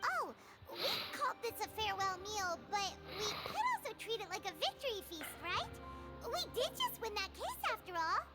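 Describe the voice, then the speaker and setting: A woman voicing a small, childlike, excitable fairy companion in an anime video game, with a squeaky voice. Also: normal speed. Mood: cheerful, eager. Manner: refers to self in third person, exclamatory. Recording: loud game music behind.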